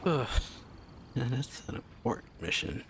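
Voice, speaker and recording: A man's voice: mid-range voice, sing-song, a little slow, creaky, slight background noise. A man giving laid-back, casual gameplay commentary in short muttered asides. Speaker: reaction streamer. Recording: loud game music behind.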